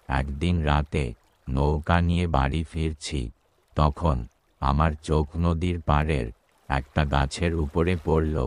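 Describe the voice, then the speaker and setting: A man's voice: rumbling low, no echo. A man voicing an earnest, superstitious village boatman in a Bengali ghost-story cartoon, with a deferential storytelling manner and a Bengali accent.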